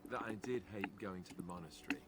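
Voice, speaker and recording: An actor playing a wry, self-deprecating young warrior in a fantasy video game, with dry, conversational joking. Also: male voice, deep voice, quick pace, a bit bright, husky. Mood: candid, reflective. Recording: game audio with prominent background music. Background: game music.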